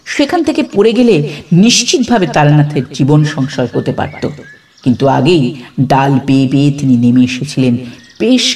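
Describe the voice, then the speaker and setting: A man narrating a horror story dramatically, building suspense with pauses between short phrases. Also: fairly high pitch, darkish tone, far from the mic.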